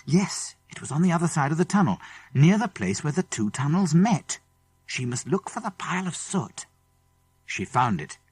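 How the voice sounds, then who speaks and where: A man's voice: a little high, sing-song, moderate pace, a little mellow, slightly rough, dry recording. A man with a British English accent narrating a children's adventure story dramatically. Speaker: audiobook narrator. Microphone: close studio microphone.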